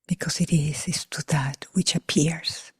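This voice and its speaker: A woman leading a guided meditation for a group in a hushed, breathy near whisper, unhurried, with long pauses between short phrases. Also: sits low, steady tone, no echo. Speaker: meditation teacher.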